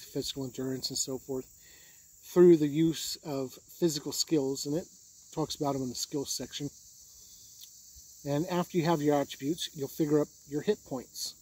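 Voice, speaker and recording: A man hosting a tabletop game review video, explaining rules conversationally in a husky voice. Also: a little high, darkish tone, dry recording, low-quality recording.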